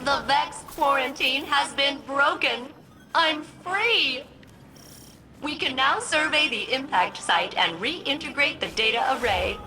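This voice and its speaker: A woman's voice: high voice, slow pace, bright, forceful tone, exaggerated voice, rough recording. A woman voicing a cheerful, eager game ship computer with precise, formal technical phrasing. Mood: bright, excited. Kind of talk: video game character dialogue. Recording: music behind the voice.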